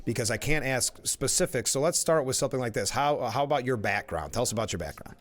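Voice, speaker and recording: A man's voice: medium pitch, expressive, quick pace. A man hosting a morning talk radio show, affable and interviewing a guest. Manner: affable, chuckles often. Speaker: radio host.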